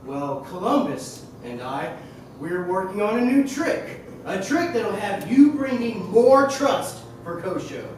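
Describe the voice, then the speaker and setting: An actor as slow, echoey circus magician showman. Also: medium pitch, big pitch swings, darkish tone. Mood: evasive. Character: smooth-talking circus magician and showman with schemes. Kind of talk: stage play acting, theatrical. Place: indoor theater stage, distant mic. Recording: echoey theater stage from far off, faint noise.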